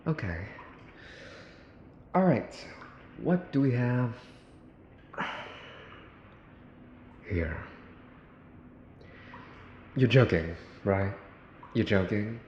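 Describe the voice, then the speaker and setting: A man acts out a mafia boss's son for an ASMR roleplay, in a very low voice. He speaks slowly and deliberately, repeating himself with theatrical sarcasm and polite menace. The sound is roomy and echoing. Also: animated, muffled recording. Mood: incredulous, exasperated. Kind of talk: ASMR boyfriend roleplay acting. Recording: roomy echo, faint background noise.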